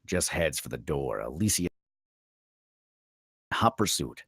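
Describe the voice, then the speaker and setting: A man's voice: a bass voice, very animated, takes it slowly, a bit bright, slightly rough, no room sound, clean studio sound. A man reading stage directions as narrator at a screenplay table read.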